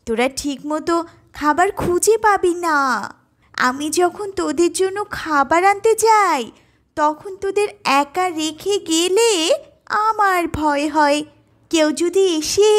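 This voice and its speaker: A woman voicing small tailorbirds, a mother and her chicks, in a children's cartoon. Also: extremely high pitch.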